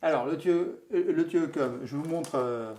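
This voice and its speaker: A man hosting a show, presenting a product conversationally in a halting, informal way and chiming in with agreement. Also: a little high, mellow.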